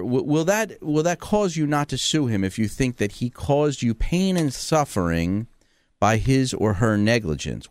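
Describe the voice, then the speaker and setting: A man's voice: mid-range voice, clear, resonant tone, no room sound. A male radio talk show host who talks chattily and jokes, often restarting his sentences. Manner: talkative and joking, with frequent restarts. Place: radio studio. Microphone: studio mic.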